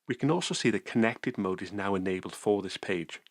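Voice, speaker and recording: A man calmly narrating a software tutorial screencast, explaining each step methodically. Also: lowish voice, a little fast, no echo, clean studio sound.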